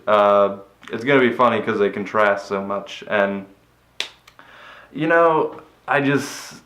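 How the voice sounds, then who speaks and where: A man with a flat voice giving a rambling, cynical movie review, swearing casually, recorded in an empty room after a move. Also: lowish voice, takes it slowly, roomy sound.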